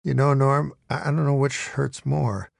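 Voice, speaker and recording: A man reading as a heartbroken adult male friend who speaks in a mournful, halting way. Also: medium pitch, flat delivery, gravelly, dry recording, studio quality.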